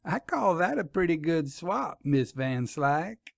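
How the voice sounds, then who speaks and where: A man's voice: fairly high pitch, big pitch swings, creaky, no echo, clean studio sound, voice pushed up, full character voice. A man voicing a cheerful, folksy young country wife in a storybook reading. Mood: amused. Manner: folksy, teasing, quick to laugh.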